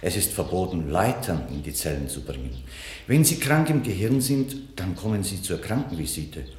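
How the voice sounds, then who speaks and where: An actor playing a gruff, rule-bound prison warder in a German radio play, scolding officiously. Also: male voice, low-pitched, very animated, moderate pace, a little mellow. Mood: strict, scornful. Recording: echoey, slightly noisy 1960s radio recording.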